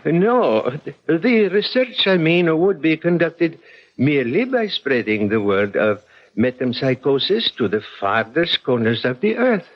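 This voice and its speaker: Lofty, high-voiced male guru. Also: sing-song. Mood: lofty, insinuating. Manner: courteous, measured, lofty. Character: smooth-talking male guru, courteous and grandiose charlatan. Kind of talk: 1970s radio mystery acting. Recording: muffled old radio broadcast recording.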